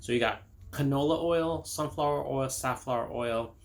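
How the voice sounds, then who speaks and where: A man's voice: medium pitch, moderate pace. A middle-aged man from Indonesia, a chiropractor, giving a casual health talk to camera. He rambles with many fillers, restarts and personal stories.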